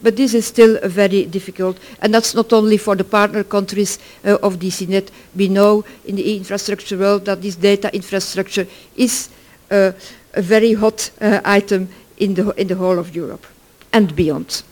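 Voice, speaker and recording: A middle-aged or older speaker from Belgium, giving an informal conference presentation in halting, self-correcting English. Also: medium pitch, steady tone, a little mellow. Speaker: project work package leader, conference presenter.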